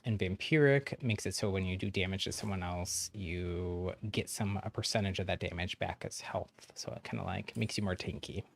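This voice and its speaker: A man's voice: deep voice, normal speed, gravelly, hardly any pauses. A man chatting casually on a game livestream, explaining things to newcomers in a friendly, encouraging way with frequent chuckles. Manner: chuckles often while talking. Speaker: game streamer.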